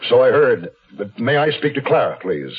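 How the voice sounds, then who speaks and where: An American actor playing a hunted, weary man on the run who tells his own story in a brooding, deliberate radio mystery style. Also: medium pitch, very animated, breathy edge. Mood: polite, probing. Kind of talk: 1970s radio mystery drama, first-person noir narration. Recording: lo-fi phone-like 1970s radio, faint music.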